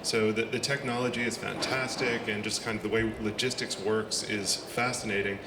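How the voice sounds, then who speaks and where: A middle-aged American man with an American accent, speaking as a conference panelist in an earnest, steady way. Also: lowish voice, moderate pace. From United States. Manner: steady, earnest, a few restarts. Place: large conference hall. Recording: echoey hall with background noise.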